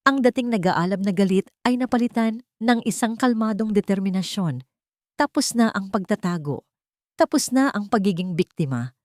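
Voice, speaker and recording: A woman with a fairly low voice narrates a dramatic story. She phrases each line deliberately and pauses briefly for effect. The recording is dry and close, with very little room sound. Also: darkish tone, no echo.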